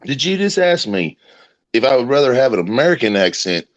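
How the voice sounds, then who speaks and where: A man's voice: mid-range voice, very animated, a little fast, muffled recording. A man with a South Texas accent in casual chat, brash, profane and mocking as he crashes a show. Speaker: guest crashing a podcast.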